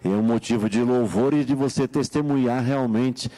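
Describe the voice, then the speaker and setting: A man hosting a late-night radio prayer line, his voice mellow and dark. He prays slowly and solemnly, drawing out each petition, and answers the caller with soft, steady affirmations. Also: medium pitch, rough recording. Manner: slow solemn praying, calm affirming murmurs.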